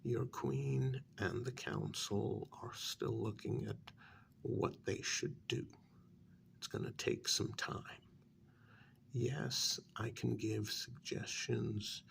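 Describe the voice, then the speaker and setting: Man playing a slow, gravelly, soft-spoken vampire mediator. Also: on the low side, a little hiss or hum, full character voice. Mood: measured, firm. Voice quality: breathy, half-whispered, gravelly. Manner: measured, halting, chiding. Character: calm, reproachful male vampire mediator addressing a prisoner. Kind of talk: soft-spoken ASMR role-play.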